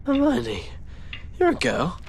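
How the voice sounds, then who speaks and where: A man playing a dopey, grumbling, awkward teenage schoolboy with an English accent and a husky voice in a video game. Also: sits high, huge pitch swings, slow pace, darkish tone, long reverb tail, a little hiss or hum, exaggerated voice. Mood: lovesick, dreamy. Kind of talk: video game cutscene voice acting.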